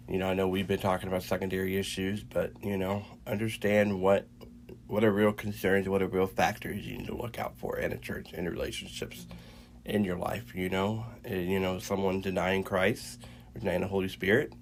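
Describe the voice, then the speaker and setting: A man co-hosting a faith podcast, congested and a little raspy from a cold, talking in a halting way with many fillers and restarts. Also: deep voice, a little hiss or hum. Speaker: podcast co-host. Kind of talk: casual faith chat.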